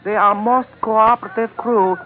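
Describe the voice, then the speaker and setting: A tinny old radio recording carries a man playing a smiling henchman, pitched high. He speaks in ornate, over-polite phrases, courteous and menacing at once, in classic 1940s radio-play style. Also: steady tone, moderate pace, soft background music, natural voice. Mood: smooth, courteous menace. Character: smiling, flowery-spoken male henchman with a gun.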